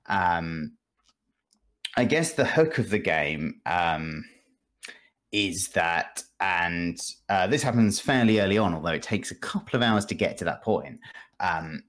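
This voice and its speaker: A man hosting a podcast, explaining things casually in a rambling, halting way with asides. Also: low-pitched, lively intonation, fairly bright tone. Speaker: podcast host. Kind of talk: casual video game review chat.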